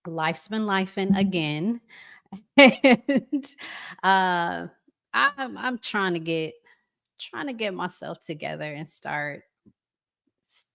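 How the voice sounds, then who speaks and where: A woman hosting a live stream, giving casual, conversational commentary to viewers with deliberate pauses. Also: on the low side, expressive, vocal fry, a bit bright.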